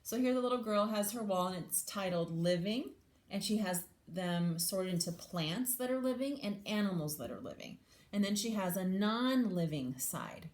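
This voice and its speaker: A woman's voice: medium pitch, animated, normal speed, darkish tone. A woman teacher reading a picture book aloud to children, adding simple explanations and questions for her young listeners. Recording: echoey room sound.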